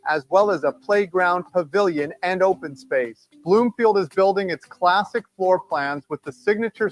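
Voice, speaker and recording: A man narrating a scripted real estate advertisement with a smooth, even promotional delivery. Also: high voice.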